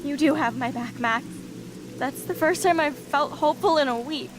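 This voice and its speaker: A woman's voice: high-pitched, normal speed, noisy background, lightly acted. A woman playing a despairing, hurt teenage schoolgirl in a dramatic video game. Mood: wary, faint hope. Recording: game music and rain behind.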